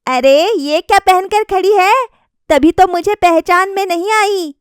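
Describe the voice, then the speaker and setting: A woman's voice: extremely high pitch, a little slow, no room sound, clean studio sound, pitched above the performer's own voice. A woman voicing a stern, easily displeased older mother-in-law who scolds and questions in a family story. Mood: disapproving. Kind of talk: acted dialogue in a Hindi family story.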